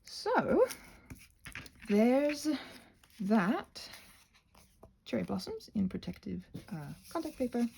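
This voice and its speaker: Whimsical lady rambling while she crafts, bit husky and crackly, pitch bouncing all over. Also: very animated, slow, long pauses, mellow. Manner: whimsical, tangent-prone, talking to herself and to her materials in a playful way. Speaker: host of a craft and ASMR channel. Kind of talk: casual rambling craft narration.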